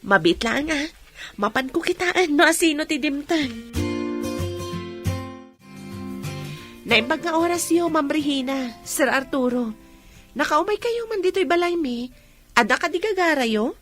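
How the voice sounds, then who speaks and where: A Filipino woman acting a cheerful, fond, encouraging companion in an expressive, chatty radio drama style. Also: high-pitched, moderate pace, soft background music. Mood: polite, flustered.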